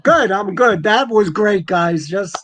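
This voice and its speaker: A man's voice: high-pitched, lively intonation, slow pace, fairly bright tone. A man chatting casually as a podcast guest, sounding distant among other voices. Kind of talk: casual chat.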